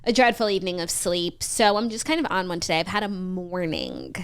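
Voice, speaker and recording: A woman co-hosting a casual morning talk show, coming down with a cold and wryly grumbling about a rough morning. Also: lowish voice, big pitch swings, brisk. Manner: chatty, grumpy and wry about a rough morning. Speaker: podcast co-host. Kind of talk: casual chat.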